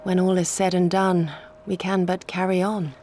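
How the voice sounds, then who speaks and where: Actress doing proud vengeful ninja lady, lowish voice, solemn old-timey wording, game cutscene with music behind. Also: flat delivery, normal speed, dark tone, close to an everyday voice. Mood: solemn, sorrowful. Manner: solemn, formal archaic speech. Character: grave, determined shinobi woman, vengeful and proud. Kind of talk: dramatic video game voice acting.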